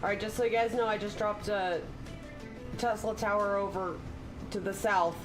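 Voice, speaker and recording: A woman's voice: medium pitch, steady tone, moderate pace, poor audio. Woman gamer chatting over music. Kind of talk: casual chat while gaming. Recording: voice chat with music behind.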